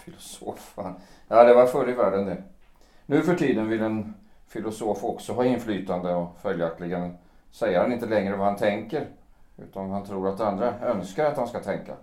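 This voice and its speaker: A man's voice: lowish voice, darkish tone, lightly acted. Gabriel, a man playing a sardonic old friend. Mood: wry. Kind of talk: audio drama acting. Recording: echoey room.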